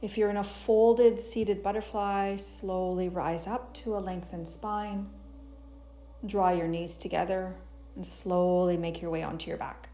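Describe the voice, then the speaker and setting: A woman yoga teacher leads a guided meditation at a very slow pace. Her voice is mellow and relaxed, with a gentle, soothing delivery. Music plays behind her as she gives unhurried instructions. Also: mid-range voice.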